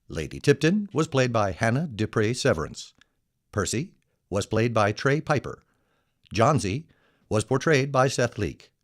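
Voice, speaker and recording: A man announcing scripted radio drama credits and disclaimers. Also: mid-range voice.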